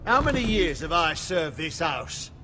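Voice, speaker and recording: A hot-headed veteran soldier. His delivery is blunt and combative, set a little high and moving widely in pitch. The voice rings through a hall with a long reverb. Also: big pitch swings, measured pace, sharp tone, slight background noise, exaggerated voice. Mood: bitter.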